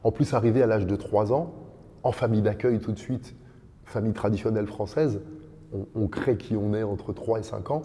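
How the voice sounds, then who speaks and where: A man's voice: lowish voice, moderate pace, mellow, breathy edge, in a large hall. A man in his fifties, a podcast guest telling his life story in an earnest, reflective way, piling up lists with rising emphasis. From born in Dakar, raised in France. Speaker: podcast guest, kickboxing champion.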